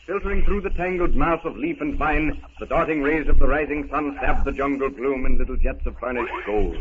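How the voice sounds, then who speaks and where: A man's voice: mid-range voice, brisk, muffled recording. A man giving florid, dramatic scripted narration in the manner of a 1930s radio adventure serial. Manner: dramatic, declamatory storytelling. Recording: scratchy, band-limited 1930s radio recording.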